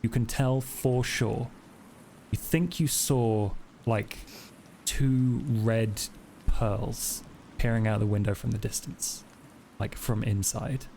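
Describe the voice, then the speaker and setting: A man with an average-pitched voice acts as game master, narrating scenes to players in casual talk. Some noise is audible behind him. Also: fairly even intonation, takes it slowly, vocal fry, mellow. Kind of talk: narrating a tabletop roleplay game.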